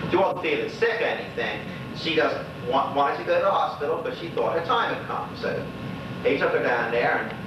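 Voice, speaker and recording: Guy acting as gossipy old bermudian widower, rambling stage monologue, lively up and down voice, sounds far from the mic. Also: a little high. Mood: sombre reminiscing. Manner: rambling, folksy, conversational. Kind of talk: stage monologue, colloquial storytelling to an unseen neighbour. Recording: roomy, noisy, muffled distant stage recording.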